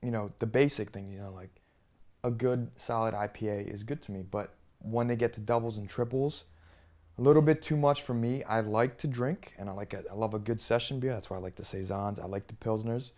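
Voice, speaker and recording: A man's voice: fairly low pitch, steady tone, brisk, a little mellow. A man from Bulgaria in a casual chat, speaking a bit hesitantly as he thinks aloud. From Bulgaria, later grew up in the US.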